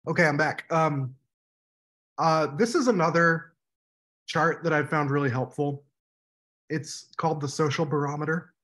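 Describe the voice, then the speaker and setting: A man in his thirties leading an online workshop on a video call, explaining points in a measured way while his voice goes hoarse. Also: fairly high pitch, fairly bright tone. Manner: measured, explanatory, says "right?" often. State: losing his voice, clearing throat. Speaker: workshop facilitator. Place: room at home, indoors.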